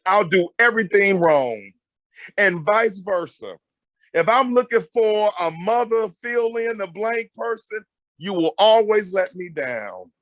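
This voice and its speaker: A middle-aged man with a high voice that swings widely as he talks. He is loud and emphatic, giving conversational counsel and repeating phrases for weight. The sound is close and dry. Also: animated, measured pace, no room sound. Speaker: guest marriage counselor and mentor. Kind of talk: animated conversational advice talk.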